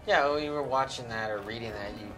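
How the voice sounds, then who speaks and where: A man in casual chat as a developer on a video game panel, his voice sitting quietly in the mix. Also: mid-range voice, fast talker, faint music behind, low-quality recording.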